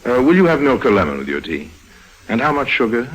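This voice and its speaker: An actor playing a suave, wry con man in a 1950s radio drama, unhurried and drily joking. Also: medium pitch, very animated, fairly bright tone, rough recording. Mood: smug, amused. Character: suave, wry adult male rogue narrator, coolly amused con man. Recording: old 1950s radio recording.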